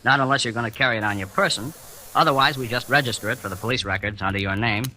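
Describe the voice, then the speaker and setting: An actor playing a casual, matter-of-fact gun shop clerk in a 1940s radio drama. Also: male voice, mid-range voice, very fast, pushed and bright. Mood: offhand, businesslike. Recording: noisy, hissy old radio broadcast.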